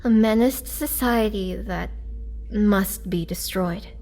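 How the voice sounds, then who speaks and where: Slow, halting vampire woman prisoner, acted by a woman. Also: medium pitch, darkish tone, poor audio. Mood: bitter, wry. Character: lonely immortal vampire woman prisoner, young-looking, wry and pleading. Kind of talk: dramatic girlfriend roleplay audio acting.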